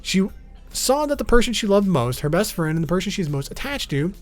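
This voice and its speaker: Higher-pitched man, lively explainer narration. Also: brisk. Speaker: video host. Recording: background music under voice. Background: music.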